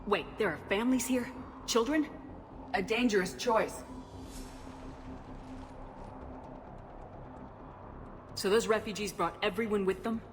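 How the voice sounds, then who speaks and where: A woman's voice: medium pitch, moderate pace, slight background noise, close to an everyday voice. A woman playing a resolute, battle-hardened military commander in a video game, direct and controlled in her delivery. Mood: concerned, resolute. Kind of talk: dramatic video game acting.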